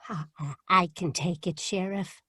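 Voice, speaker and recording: An actress playing a kindly, hospitable farm wife with a husky voice in an old-time radio drama. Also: female voice, lowish voice, steady tone, a little slow, bright, forceful tone, no echo, studio quality, exaggerated voice. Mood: kindly, concerned.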